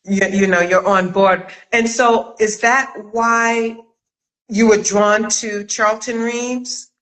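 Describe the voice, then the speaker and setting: A woman hosting a casual interview chat, talking in an expressive, emphatic way. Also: on the high side, slow, low-quality recording. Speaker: host, acting coach and writer. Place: remote video call.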